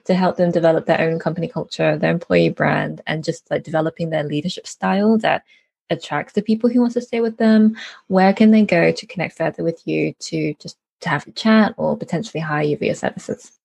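A woman's voice: low voice, a little fast, vocal fry, darkish tone, breathy edge. A woman hosting a podcast interview in a chatty, informal and giggly way. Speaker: podcast host. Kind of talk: casual conversational interview.

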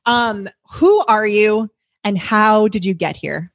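A woman's voice: mid-range voice, slow, no echo, studio quality. Bubbly, laughing woman podcast host. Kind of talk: casual interview chat.

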